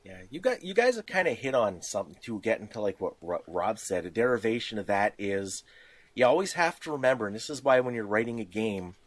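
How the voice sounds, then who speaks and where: A man's voice: on the low side, animated, fairly quick, dry recording. A man co-hosting a podcast, chatting casually. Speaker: podcast co-host. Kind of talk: casual chat.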